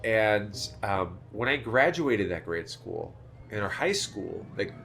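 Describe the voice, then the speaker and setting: A man's voice: on the low side, animated, slow pace, fairly bright tone, a little hiss or hum. A man with a nasal voice telling a rambling, filler-heavy story on a podcast. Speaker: podcast host. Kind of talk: casual chat.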